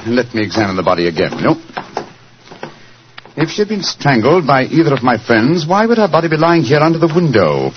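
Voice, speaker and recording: An actor playing a keen, courteous English gentleman detective with a British accent in a 1940s radio drama. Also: mid-range voice, big pitch swings, fairly quick, close to an everyday voice. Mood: probing. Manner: probing, polite, crisp reasoning. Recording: muffled, hissy 1940s radio recording.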